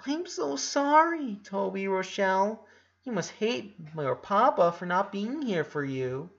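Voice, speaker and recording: A man voicing a gentle, tender eleven-year-old boy in a storybook reading, soft-spoken. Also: high voice, sing-song, a little slow, vocal fry, lo-fi sound, pitched above the performer's own voice, full character voice. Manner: soft-spoken, sometimes cooing baby talk. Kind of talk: storybook character dialogue.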